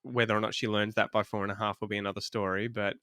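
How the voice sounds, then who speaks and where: A man's voice: on the low side, fast, no echo, clean studio sound. A man in his thirties from Australia, an English teacher chatting casually as a podcast guest, laughing often while he tells stories. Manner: laughs often, relaxed storytelling. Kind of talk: casual chat.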